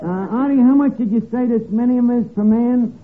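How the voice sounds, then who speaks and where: A man's voice: very high-pitched, moderate pace. A man playing a brash, scheming tavern manager who wisecracks and bosses people in slangy old-time radio comedy. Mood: wheedling, sly. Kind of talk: 1950s radio comedy acting. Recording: noisy, tinny vintage radio recording.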